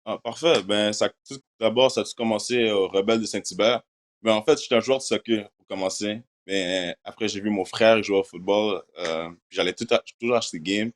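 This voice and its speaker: A man's voice: fairly low pitch, a little fast. A young man from Quebec whose first language is English, answering interview questions in casual French sprinkled with English words. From Quebec, Canada. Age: young adult. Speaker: university football player.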